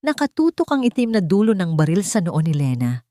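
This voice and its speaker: Woman narrator, lowish voice, suspenseful scripted drama, very dry sound. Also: sing-song, fairly quick, mellow, no room sound. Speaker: story narrator.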